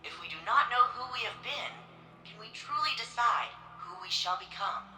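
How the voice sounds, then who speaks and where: An actress playing an eloquent city archivist in a sci-fi game, narrating a museum tour in a stately, polished, reverent manner. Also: female voice, on the high side, animated, normal speed, close to an everyday voice. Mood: bright, welcoming. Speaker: recorded museum guide. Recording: roomy echo with music behind.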